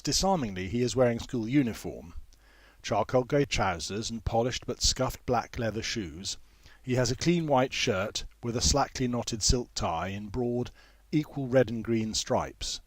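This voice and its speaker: A man narrating a literary story in a measured, formal manner with precise phrasing. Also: medium pitch, expressive, no room sound. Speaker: author reading own novel.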